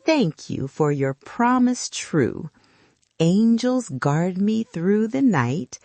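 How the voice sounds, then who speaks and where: Slow, creaky-voiced older woman storyteller. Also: medium pitch, sing-song, darkish tone, dry recording. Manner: soothing, addressing small children directly. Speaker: host and storyteller. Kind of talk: bedtime story introduction for young children.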